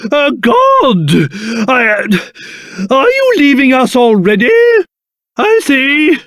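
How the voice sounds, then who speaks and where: A man voicing a pompous, fussy, excitable little old king in a video game, speaking theatrically with old-fashioned flair. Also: very high voice, no echo, clean studio sound, exaggerated voice. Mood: genial, self-satisfied. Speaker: voice actor.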